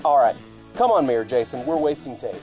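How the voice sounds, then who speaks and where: A man's voice: mid-range voice, very animated, brisk, mellow, faint music behind, close to an everyday voice, low-quality recording. A man playing a blunt, boastful, crude county commissioner in a comic radio commercial. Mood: insulting. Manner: blunt, insulting.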